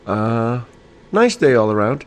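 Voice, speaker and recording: A man in the middle of his ordinary pitch range hosts a late-night podcast from home. He sounds a little tipsy and slightly nasal. He rambles loosely, laughing often and swearing casually between fillers. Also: big pitch swings, slow, a little mellow. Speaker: podcast host. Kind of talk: late-night casual solo talk show.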